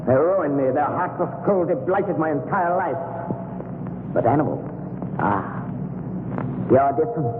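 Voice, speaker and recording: A mad scientist in a 1930s radio thriller, his voice a little high. He gloats theatrically and with mock courtesy, full of contempt for humanity. The old recording is tinny, noisy and very echoey. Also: animated, unhurried, long pauses. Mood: fond, fanatical. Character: mad scientist, misanthropic villain gloating over captive.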